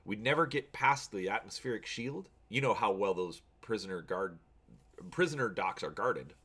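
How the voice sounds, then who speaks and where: A man's voice: on the low side, animated, normal speed, bright, forceful tone, husky, exaggerated voice. A man voicing a skeptical, folksy rebel prisoner in a storybook reading, blunt and dismissive.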